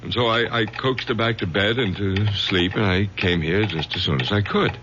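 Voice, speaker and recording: An actor playing a mild, anxious college professor, formal and hesitant, in an old-time radio drama. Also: male voice, fairly low pitch, normal speed, lightly acted. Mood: anxious, confessional. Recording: noisy, muffled old radio broadcast.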